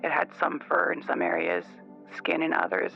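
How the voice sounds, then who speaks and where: A high, gravelly voice broods over muffled radio with music underneath. A man acts out an uneasy, bitter caller in a radio drama, choosing words haltingly and deliberately. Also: very animated, heavily acted. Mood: brooding unease. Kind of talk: improvised actual-play radio drama. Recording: dull lo-fi radio sound.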